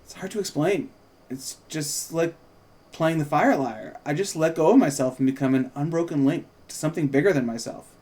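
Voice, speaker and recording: Man as teenage girl mage, raised pitch, earnest, devout, wistful, fantasy script. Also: moderate pace, a little mellow, lightly acted. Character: devout, earnest teenage girl who works fire magic.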